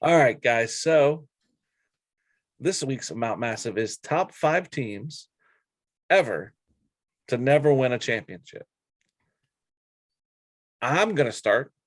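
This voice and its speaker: A man's voice: medium pitch, expressive, slow, long pauses, dry recording. A man co-hosting a casual sports talk show, with a blunt, opinionated way of talking. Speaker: sports podcast co-host. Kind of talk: casual sports chat, counting down a list.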